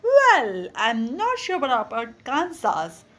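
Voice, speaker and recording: A woman's voice: sits high, wildly swooping pitch, slow, a bit bright, full character voice. A woman reading a children's storybook, voicing a pleasant little humbug wizard man who explains things chattily. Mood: pleasant, musing. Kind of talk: children's storybook read-aloud.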